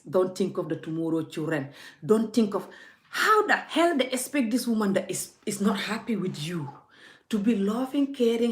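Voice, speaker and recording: A woman with a fairly low voice delivers a heated vlog rant, scolding and forthright, talking straight to her viewers. The room around her rings with a noticeable echo. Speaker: vlogger. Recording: echoey room.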